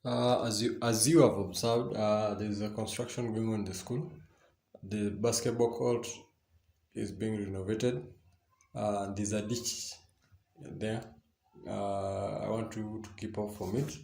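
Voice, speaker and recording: A man playing an admonishing deputy principal in a radio drama, speaking haltingly and repeating his phrases. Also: fairly low pitch, steady tone, creaky, a little mellow, echoey room. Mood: advising, measured. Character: male deputy principal, admonishing, fatherly school authority. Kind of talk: radio drama assembly address.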